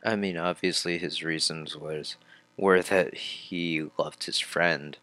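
A man with a low voice plays a video game and comments as he goes, over game music. He speaks slowly and informally, reading the story text aloud and adding his own offhand reactions. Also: fairly even intonation, pushed and bright. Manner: informal, reactive, mildly profane. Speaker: let's player.

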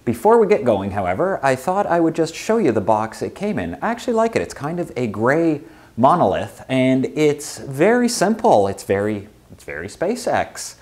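A man's voice: medium pitch, moderate pace. A man in his thirties, a veterinarian, hosting a product unboxing in a living room and talking with eager, chatty enthusiasm. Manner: enthusiastic, chatty, lively exclamations. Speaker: veterinarian and channel host. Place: living room with wooden table, indoors.

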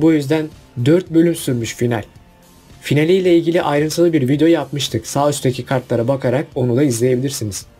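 A man talks through a countdown in a casual, chatty voice-over. His voice sits a little high but sounds mellow and husky. Faint music plays underneath. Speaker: video narrator. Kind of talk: list video voice-over.

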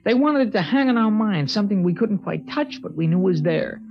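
A man's voice: high voice, animated, brisk, a little hiss or hum. An actor playing a thoughtful, earnest teenage boy who narrates a 1950s radio drama, from a dull old recording. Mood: somber. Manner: earnest, reflective, somber.

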